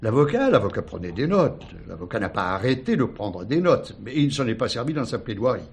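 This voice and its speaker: An older retired judge in a radio drama, haunted by doubt. His voice is slightly husky and middling in pitch, and he recounts things formally, pausing to reflect. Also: sing-song. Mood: troubled, insistent. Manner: hesitant, reflective, formal storytelling.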